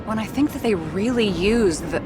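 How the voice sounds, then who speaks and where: An actress as a blunt noir investigator, music behind. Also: female voice, medium pitch, lively intonation, normal speed, close to an everyday voice. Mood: serious, intrigued. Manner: direct, questioning, foul-mouthed. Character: blunt, troubled, sharp-tongued woman investigator in dystopian noir. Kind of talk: cinematic video game dialogue.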